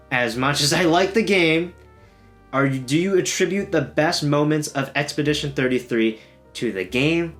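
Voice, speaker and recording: A man's voice: medium pitch, fairly bright tone. Male gaming host, casual video essay delivery, joking and self-deprecating, with faint guitar music underneath.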